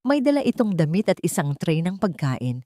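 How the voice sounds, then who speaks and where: A woman's voice: lowish voice, big pitch swings, normal speed, mellow, no room sound, studio quality. A woman with a Filipino accent reading a dramatic scripted story as an audiobook narrator.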